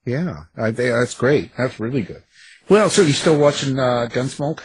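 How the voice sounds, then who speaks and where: A man hosting a radio show, chatting casually and asking halting, rambling questions. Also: mid-range voice, animated, breathy edge, no room sound. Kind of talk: casual interview chat.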